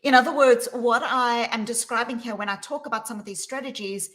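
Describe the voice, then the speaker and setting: A woman with a South African accent, answering interview questions in an earnest, measured way. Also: on the high side, steady tone, fairly bright tone. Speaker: guest, psychologist.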